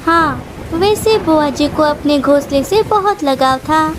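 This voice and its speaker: A woman voicing a helpful, quietly clever, polite female bird in a children's cartoon. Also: high-pitched, expressive, measured pace, noisy background. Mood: feigned innocence. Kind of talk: Hindi children's cartoon dialogue.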